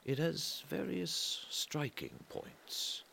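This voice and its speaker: A man reading an atmospheric literary story in a measured way, pausing between short phrases, with a gravelly voice that often turns breathy and trails off. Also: a little high, wildly swooping pitch, sharp tone, slight background noise. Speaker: reader of a literary audio story. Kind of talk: slow atmospheric literary narration.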